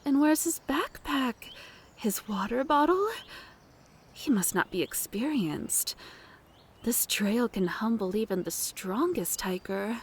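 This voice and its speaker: A woman plays a mature, motherly woman of the woods in an ASMR roleplay. Her voice sits fairly high and she takes her time over every phrase. She is flirtatious and doting, coaxing the listener with pet names. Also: lively intonation, slow pace, a little hiss or hum. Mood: admiring, concerned.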